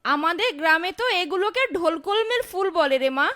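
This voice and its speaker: A woman voicing a caring village wife and mother in a fairytale cartoon, polite and expressive. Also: extremely high pitch, brisk, heavily acted. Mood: gentle.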